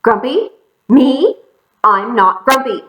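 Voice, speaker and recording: A woman's voice: on the high side, takes it slowly, a bit bright, reverberant, voice pushed up. Woman voicing an indignant, grumpy storybook chimpanzee. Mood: indignant denial. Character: grumpy young male chimpanzee in stubborn denial.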